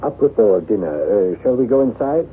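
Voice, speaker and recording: Guy doing gushy haunted chateau lord, old radio drama, swoopy gravelly voice, tinny hissy sound. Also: mid-range voice, expressive, fast, close to an everyday voice. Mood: rapturous. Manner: theatrical, effusive, gushing over his bride. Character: besotted newlywed lord of a chateau, haunted by guilt. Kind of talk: 1970s radio drama acting. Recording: tinny, band-limited old radio recording with hiss.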